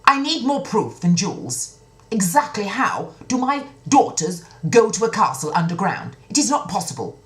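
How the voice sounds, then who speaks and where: A commanding storybook king, acted out by a woman storyteller, stern and disbelieving. The delivery is imperious and emphatic, pushed well above a quiet telling voice, with big swings in pitch. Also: very animated, moderate pace, natural voice. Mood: incredulous.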